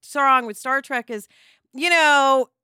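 Woman podcast cohost, bright higher voice, chatty discussion style, dry sound. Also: slow pace, no room sound. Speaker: podcast co-host. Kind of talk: conversational podcast talk.

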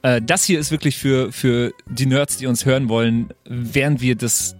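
Mid-pitched man in casual podcast chat. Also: fairly even intonation, moderate pace, soft background music. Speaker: podcast co-host.

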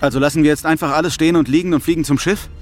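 A male actor plays an agitated, questioning astronaut in an audio drama. He talks fast in a fairly high voice, with light music behind. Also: fairly even intonation, close to an everyday voice. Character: agitated, questioning male Mars crew member.

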